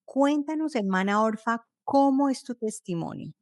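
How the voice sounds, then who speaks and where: A woman's voice: fairly high pitch, expressive, normal speed, dry recording, clean studio sound. A woman speaking as the host of a religious testimony interview, introducing and questioning a guest.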